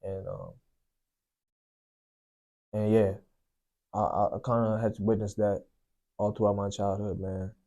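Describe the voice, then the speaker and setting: Low, flat, dark-voiced young man from Sri Lanka. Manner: soft-spoken, unhurried, fills with "you know, man". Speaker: guest sharing testimony. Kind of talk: personal testimony, casual storytelling.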